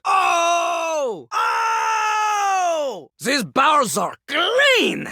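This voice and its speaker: A swaggering French-accented video game character, a talking toilet who fancies himself a great rapper. His pitch sits fairly high and leaps around theatrically. He is pompous and grandiose, and the sound is dry and close. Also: vocal fry, bright, forceful tone, no room sound, exaggerated voice. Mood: astonished. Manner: pompous, grandiose, refers to himself in third person.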